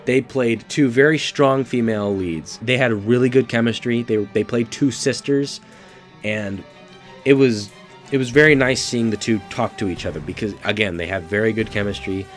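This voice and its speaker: A man's voice: medium pitch, normal speed, faint music behind. Congested 18-year-old man, casual reviewer. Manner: casual and profane, with frequent fillers and restarts. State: congested from allergies. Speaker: movie review host. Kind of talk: casual spoken movie review.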